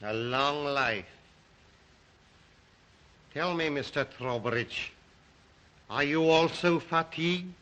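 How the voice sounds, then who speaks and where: A man playing a sinister, courteous aristocratic count in old film melodrama style, speaking formally and deliberately. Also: a little high, sharp tone. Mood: suave, veiled menace. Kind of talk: 1930s film melodrama acting.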